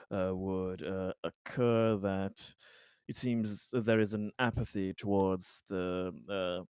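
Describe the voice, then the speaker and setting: A man playing a polite, fumbling, flattering survey official in a tabletop roleplaying game, speaking in a halting, very courteous way. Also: low voice, clean tone, dry recording, studio quality. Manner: halting, hesitant, overly courteous.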